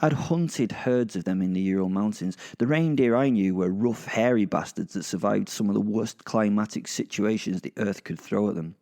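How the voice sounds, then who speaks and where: Sardonic British werewolf narrator, played by a man, mellow voice. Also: on the low side, moderate pace, hardly any pauses, lightly acted. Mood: wry, aggrieved. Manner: rambling, confiding, sweary, dry. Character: sardonic, foul-mouthed male werewolf serial killer narrator. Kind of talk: dramatised first-person narration to listeners.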